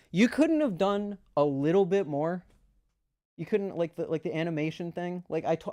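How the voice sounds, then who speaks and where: A man with a slightly high-set voice hosts a livestreamed awards show. He addresses chat with theatrical, jokey flair and mock exasperation. The sound is close and dry, with little room in it. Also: darkish tone, no room sound.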